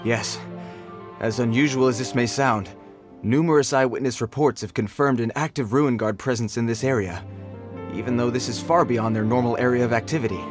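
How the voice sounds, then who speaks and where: An actor playing an earnest, dutiful guard soldier in a fantasy video game, speaking formally and stiffly. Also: male voice, medium pitch, lightly acted. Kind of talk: scripted video game character acting.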